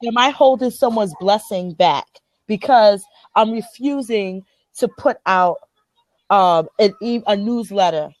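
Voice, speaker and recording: A woman's voice: medium pitch, measured pace. A woman with a Liberian accent hosting a motivational group chat, speaking earnestly and emphatically, often repeating phrases to drive a point home. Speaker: host.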